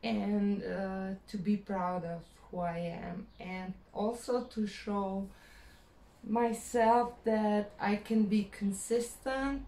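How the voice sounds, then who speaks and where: A Croatian-accented woman talks to her camera in English, her second language, hesitating and reaching for words with plenty of fillers. She speaks very slowly at an ordinary pitch. The sound is roomy with a clear echo. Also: darkish tone. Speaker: vlogger. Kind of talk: personal vlog monologue.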